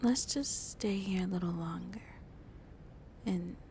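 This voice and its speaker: A woman playing a shy, lonely vampire in an intimate ASMR roleplay, speaking softly and hesitantly, often half whispering. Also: on the low side, slight background noise. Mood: tender, seductive. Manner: slow, hesitant, halting, soft and breathy. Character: shy, lonely female vampire on a tender first date.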